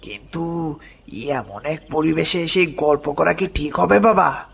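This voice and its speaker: A man playing an older village boatman, frightened and hesitant, slowly telling a dramatic horror story with a creaky voice. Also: a little high, a bit bright, full character voice. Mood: fearful, hesitant. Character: frightened village boatman uncle recounting his haunted past. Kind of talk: horror cartoon story narration. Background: music.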